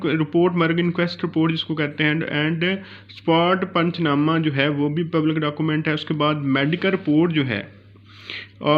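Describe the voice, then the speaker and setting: A man with a Pakistani accent teaching law in an informal lecture, explaining step by step and switching between two languages. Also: a little high. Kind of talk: informal Urdu-English legal lecture. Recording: dull, lo-fi recording.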